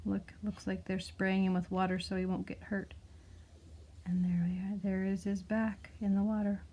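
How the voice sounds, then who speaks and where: A woman's voice: mid-range voice, flat delivery, normal speed, a little hiss or hum. A woman reading a children's picture book aloud expressively, like a teacher, with explanatory asides and questions to the listener. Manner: explains words and asks the listener gentle questions.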